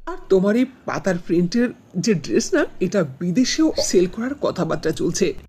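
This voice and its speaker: A woman's voice: on the low side, sing-song, moderate pace, warm tone, slightly rough. A woman voicing a businesslike, emphatic man who owns a shop and factory, in a fairy-tale cartoon. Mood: enthusiastic. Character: male shop and factory owner, businesslike customer.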